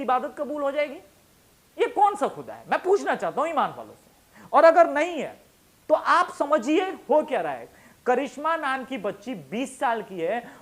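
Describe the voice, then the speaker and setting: Male political commentator, very high register, highly animated delivery, combative and colloquial, built on emphatic rhetorical questions. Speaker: show host, political commentator. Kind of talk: impassioned opinion monologue.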